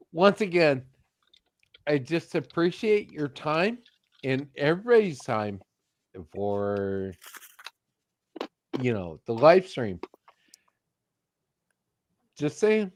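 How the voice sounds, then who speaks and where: A man's voice: on the high side, very animated, very slow, pauses often. A man co-hosting a casual live stream, laid-back with short dry replies.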